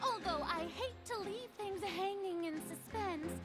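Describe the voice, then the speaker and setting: A woman's voice: extremely high pitch, measured pace. A woman playing a pompous, theatrical young female ruler in a video game, flustered beneath the show. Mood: theatrical, self-assured. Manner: pompous, grandiose, showy. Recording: game music behind the voice.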